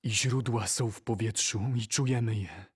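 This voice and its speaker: A man reciting poetry for an audio drama in a solemn, measured manner. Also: lowish voice, breathy edge.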